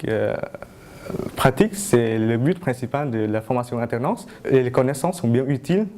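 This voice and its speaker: Brief: student in his twenties, careful and halting, warm tone. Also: mid-range voice, lively intonation, a little hiss or hum. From Vietnam. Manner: careful, hesitant with fillers. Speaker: master's student apprentice.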